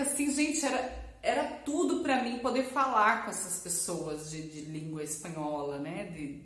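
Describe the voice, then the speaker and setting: The voice of a Brazilian woman vlogger sharing her own story with followers from a bare, echoing room, chatty and expressive. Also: mid-range voice. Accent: Brazilian Portuguese. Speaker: language teacher and vlogger. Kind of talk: casual personal storytelling to camera. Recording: echoey, roomy sound.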